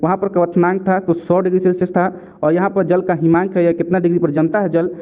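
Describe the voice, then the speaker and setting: A man's voice: high-pitched, level tone, fast. A man teaching exam questions and answers in a didactic way, repeating key points as reminders. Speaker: exam-prep chemistry teacher. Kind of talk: question-and-answer lesson narration. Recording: narrow, tinny phone-like sound.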